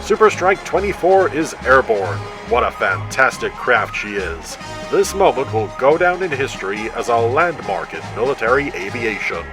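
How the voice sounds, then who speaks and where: A man's voice: medium pitch, sing-song, moderate pace, few pauses, over music, close to an everyday voice. A man playing a proud radio commentator at a launch, speaking in a grand, enthusiastic, declamatory broadcast style. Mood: rousing pride. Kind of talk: radio outside-broadcast acting.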